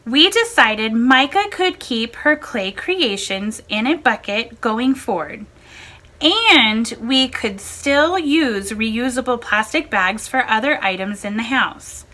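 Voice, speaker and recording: A woman reading a first-person personal essay aloud in a measured, expressive way. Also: fairly high pitch.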